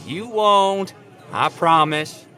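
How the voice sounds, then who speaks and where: A man's voice: sits high, very slow, pushed and bright, faint music behind, a faint crowd, exaggerated voice. A man playing an earnest, sheepish young suitor in an audio drama, devoted but a little feckless. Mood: tentative.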